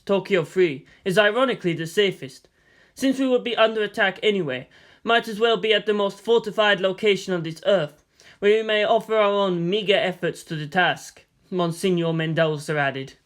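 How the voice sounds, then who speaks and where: Lady reading a fanfic audiobook, steady and expressive. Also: medium pitch, moderate pace. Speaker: narrator. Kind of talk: audiobook reading of fan fiction.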